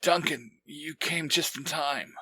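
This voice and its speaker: A man voicing a weary, injured young hero with a strained, breathy delivery in a story reading. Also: fairly high pitch, slow, bright, forceful tone, voice pushed up, full character voice.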